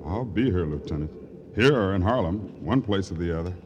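An American actor playing an uneasy, evasive booth clerk in a 1950s radio crime drama, speaking in a hesitant, folksy way. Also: male voice, deep voice, animated, a little fast, over loud music. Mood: worried, resigned. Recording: noisy, muffled vintage radio recording.

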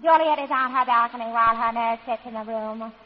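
A high-voiced, pompous Brooklyn would-be tragedienne played by a woman, declaiming with grand theatrical airs that keep slipping into brash slang. It sounds like a muffled old radio comedy broadcast. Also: a little fast, lightly acted. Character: pretentious would-be grand actress playing Juliet, brash underneath. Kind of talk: 1940s radio comedy acting.

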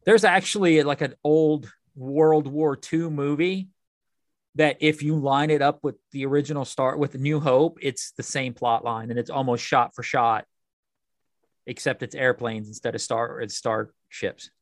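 A man's voice: medium pitch, steady tone, a little slow, dry recording, studio quality. A man co-hosting a podcast, talking casually and a little tentatively about films. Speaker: podcast co-host.

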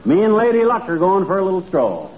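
An actor playing a cheerful, gullible middle-aged braggart in a folksy, chuckling, excitable old-time radio comedy style. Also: male voice, high voice, a little fast, over loud music. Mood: breezy, pleased. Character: boastful, cheerful, gullible middle-aged small-town man. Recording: dull, noisy old radio recording.

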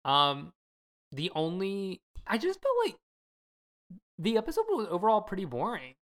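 A woman's voice: mid-range voice, normal speed. A woman co-hosting a podcast in casual, opinionated chat with plenty of fillers. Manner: relaxed, opinionated, many fillers. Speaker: podcast co-host.

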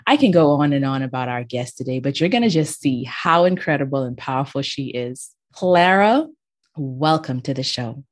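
A woman's voice: a bass voice, lively intonation, moderate pace. A woman hosting an interview show, talking in an effusive, emphatic way to her guest. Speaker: show host.

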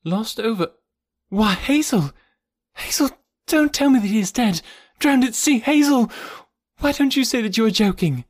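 A grief-stricken young American woman, played by a male narrator, pitched high and swooping. The delivery is tender and dramatic, with plaintive, breathy cries, as in an audiobook of an old adventure novel. The sound is close and dry. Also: expressive, moderate pace, few pauses, no echo, full character voice. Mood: shocked, frantic. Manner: plaintive, breathy exclamations, anguished.

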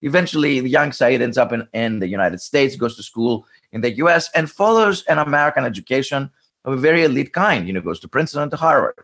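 A man explaining history in an interview, thoughtful and halting, with many restarts and fillers. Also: medium pitch, lively intonation, fairly bright tone. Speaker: interviewed scholar.

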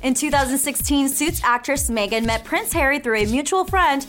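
A woman's voice: high voice, moderate pace, fairly bright tone. A woman co-hosting a podcast, reading scripted celebrity gossip in a gushing, playful way. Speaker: podcast co-host, celebrity reporter. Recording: music bed under the voice.

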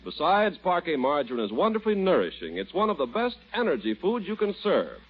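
A male radio announcer reading a commercial in a smooth sales pitch, on a muffled old broadcast. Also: huge pitch swings, brisk.

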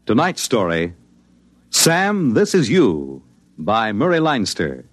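A man's voice: fairly low pitch, big pitch swings, takes it slowly. A man announcing in a grand, portentous 1950s radio style. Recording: vintage radio recording, loud music and effects.

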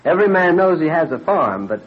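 An actor playing an earnest, confident young clockmaker in an old-time radio drama. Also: male voice, fairly high pitch, big pitch swings, fairly quick, husky. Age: 30s. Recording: dull vintage radio recording.

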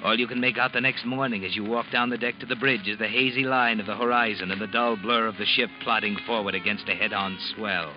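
An actor playing an ominous, knowing narrator, telling a suspense story in the second person with an insinuating manner, as in old-time radio. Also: male voice, fairly low pitch, fairly even intonation, fast, hardly any pauses, natural voice. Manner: insinuating, dramatic. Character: ominous, knowing male narrator taunting the guilty protagonist. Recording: muffled vintage radio recording with music. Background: dramatic music.

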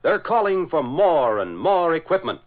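A man's voice: fairly high pitch, sing-song, normal speed. A man announcing and narrating in the dramatic, declamatory style of a 1940s radio serial. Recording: dull vintage radio recording.

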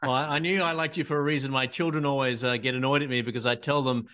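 A man's voice: mid-range voice, fairly even intonation, fast talker, bright, forceful tone. An Australian man hosting a podcast, reading a scripted intro and then chatting in a friendly, jokey way. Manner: friendly, jokey, laughs easily. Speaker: podcast host. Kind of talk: scripted intro and casual interview chat.

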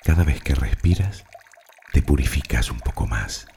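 A man with a rock-bottom, mellow voice leads a guided meditation. He delivers affirmations slowly and soothingly, leaving long pauses. His tone is dark and gravelly. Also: rumbling low, lively intonation, a little hiss or hum.

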